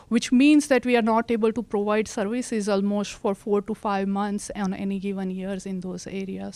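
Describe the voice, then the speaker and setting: A middle-aged woman from India with an Indian accent, presenting as a government official in a polite, measured, explanatory way. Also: medium pitch, darkish tone, no echo. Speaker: county government director presenting. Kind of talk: prepared presentation to an audience.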